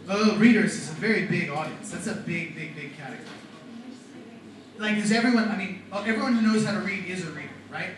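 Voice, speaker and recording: High-voiced man lecturing in an echoey room. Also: fast. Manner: animated, talks to the class with questions. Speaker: writing and rhetoric teacher. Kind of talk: classroom lecture. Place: classroom. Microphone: far from the mic. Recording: echoey classroom from far off, noisy.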